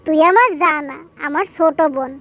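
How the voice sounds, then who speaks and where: Woman acting a high-voiced, dutiful elder daughter-in-law. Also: animated, normal speed, a bit bright, muffled recording. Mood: placating. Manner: placating, polite. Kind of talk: cartoon dub acting.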